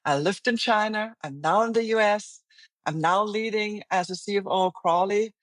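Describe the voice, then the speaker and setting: A woman's voice: medium pitch, no echo. German lady, middle-aged, thoughtful interview guest, dry close mic. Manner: reflective, earnest, speaks in halting restarts. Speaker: guest, finance executive. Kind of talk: interview conversation.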